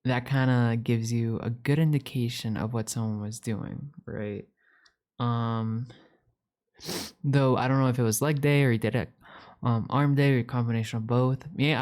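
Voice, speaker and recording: A woman's voice: a bass voice, a little mellow. A woman voicing a playful, needy young catboy roommate in an ASMR roleplay, chatting casually with stammers and repeated words.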